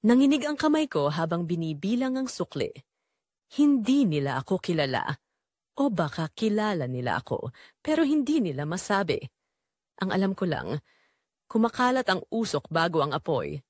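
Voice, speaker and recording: A woman's voice: lowish voice, sing-song, normal speed, a little mellow, dry recording, studio quality. A woman narrating a dramatic first-person story in an emotive way.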